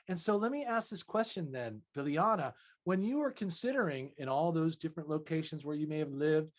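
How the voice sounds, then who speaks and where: A man hosting a conversational interview in an effusive, affirming, wordy manner. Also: fairly high pitch. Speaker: interviewer, host.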